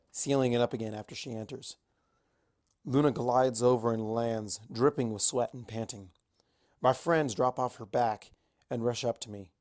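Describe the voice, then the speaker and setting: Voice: mid-range voice, darkish tone. An amateur narrator reading a first-person fantasy adventure story aloud. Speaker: amateur fan fiction narrator.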